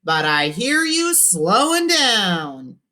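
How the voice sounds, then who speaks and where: A woman's voice: mid-range voice, very animated, very slow, pushed and bright, voice pushed up, exaggerated voice. Woman doing a hearty radio DJ man. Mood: showy. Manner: hearty announcer patter. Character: male radio DJ, showy and upbeat.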